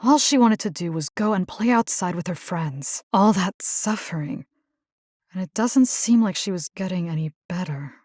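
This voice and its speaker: A woman's voice: lowish voice, moderate pace, dry recording, studio quality. A woman voicing a plucky, caring video game combat engineer, casual and wry. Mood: curious, wry. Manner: casual, wry, expressive, slipping into Spanish slang. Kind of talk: video game character voice lines.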